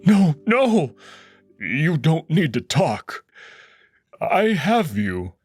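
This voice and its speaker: A man's voice: fairly high pitch, big pitch swings, extremely slow, slightly rough, no echo, voice pushed up, full character voice. A man voicing a grieving young male wolf who pleads desperately with a dying loved one, over music. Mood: pleading. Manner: pleading, choked with grief.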